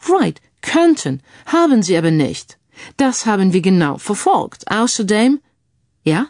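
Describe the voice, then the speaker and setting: A woman voicing a suave, wry British gentleman posing as an earl in an audio crime story, sprinkling English phrases into speech. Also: medium pitch, big pitch swings, measured pace, no room sound, clean studio sound. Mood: wry, explaining.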